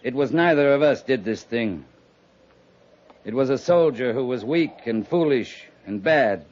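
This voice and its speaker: Earnest, reasoning army captain for a 1950s radio western, male actor: unhurried, medium-pitched, firm, dull vintage sound. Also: animated, darkish tone, close to an everyday voice. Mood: firm, measured. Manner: measured, deliberate, earnest. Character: steady, reasoning US cavalry captain negotiating for peace. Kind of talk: 1950s radio drama acting.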